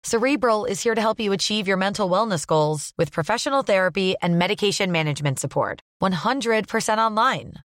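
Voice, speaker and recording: A woman's voice: mid-range voice, lively intonation, a little fast, hardly any pauses, a bit bright, no echo, studio quality. An American woman delivering a scripted advertisement as an announcer. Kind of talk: scripted ad read.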